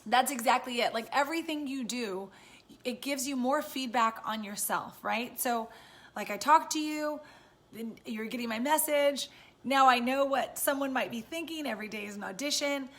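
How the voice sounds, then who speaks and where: A woman with a raspy voice, chatting casually and encouragingly to viewers on a live stream from home. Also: high voice, normal speed, fairly bright tone. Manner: chatty and encouraging, many fillers. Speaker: live-stream host and business coach.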